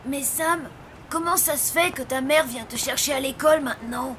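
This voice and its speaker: A woman's voice: high voice, normal speed, a bit bright, a little hiss or hum. A woman voicing a plucky, loyal zombie child in a French children's cartoon. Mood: cheerful.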